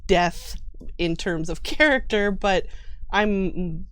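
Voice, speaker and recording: A woman's voice: mid-range voice, lively intonation, takes it slowly, fairly bright tone, clean tone, low-quality recording. A woman speaking as a guest player on a tabletop gaming stream, in casual, joking chat.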